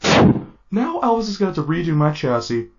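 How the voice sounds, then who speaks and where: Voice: mid-range voice, sing-song, moderate pace. An actor voicing a vain, flirtatious robot showman with a theatrical, drawling, campy manner, in a comic dub. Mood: catty, smug. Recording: dull lo-fi audio with music behind.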